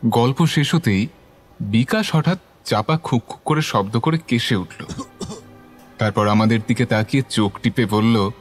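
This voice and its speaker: A man's voice: mid-range voice, unhurried, soft background music. A man giving scripted, dramatic narration of a horror story.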